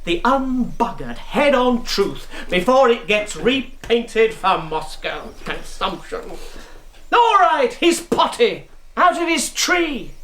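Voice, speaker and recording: A boozy sardonic spymaster. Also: male voice, very high voice, very animated, slow pace, bright, forceful tone, echoey room, full character voice. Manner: tipsy, sardonic, theatrical. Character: boozy sardonic male British intelligence officer. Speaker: intelligence officer. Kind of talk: radio drama acting.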